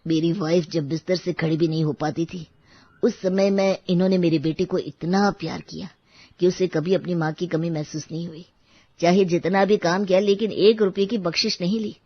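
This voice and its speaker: An actor voicing a grateful, protective husband and father, earnest and emphatic, in an animated moral story. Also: high voice, normal speed, no room sound, dull audio. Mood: earnest gratitude.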